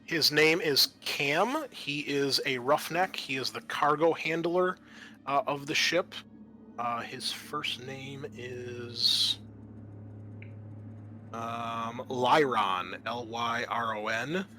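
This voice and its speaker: A man acting as game master, explaining a tabletop roleplaying game in a halting way. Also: mid-range voice, sharp tone, soft background music.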